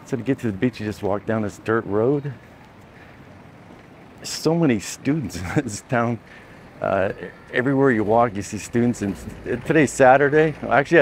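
A man's voice: on the low side, slight background noise. A man talking casually as he walks outdoors, filming a travel vlog with a handheld camera, rambling and correcting himself. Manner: rambling, relaxed, self-correcting. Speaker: travel vlogger. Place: outdoors by a beach and dirt roads. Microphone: handheld camera, filming first-person.

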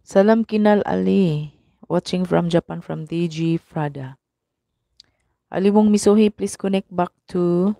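A young woman with a Filipino accent, hoarse from a cough, greeting viewers one by one on a live stream through a mic held at her mouth. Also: fairly low pitch, warm tone, no echo. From Philippines. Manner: slow, greeting viewers by name. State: husky from a cough. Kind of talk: live stream chat reading viewer comments. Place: small room indoors. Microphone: clip-on mic held at the mouth.

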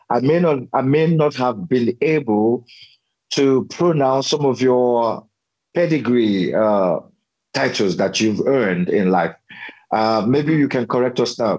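A man in his thirties hosting an online interview show, relaxed and affirming, speaking into a desk microphone at home. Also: mid-range voice, breathy edge, muffled recording. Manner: relaxed, affirming listener with many mm and yeah. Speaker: talk show host. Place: indoor room at home.

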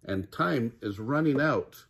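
A man voicing an excitable, earnest young boy in a children's storybook, eager and a little anxious. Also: mid-range voice, takes it slowly, creaky, husky, pitched above the performer's own voice, heavily acted. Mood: worried.

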